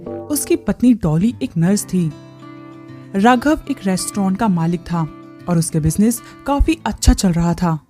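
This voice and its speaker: Female storyteller for scripted moral tale, soft-edged mellow timbre, light music bed. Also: mid-range voice, lively intonation, measured pace. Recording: faint music under the voice. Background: faint background music.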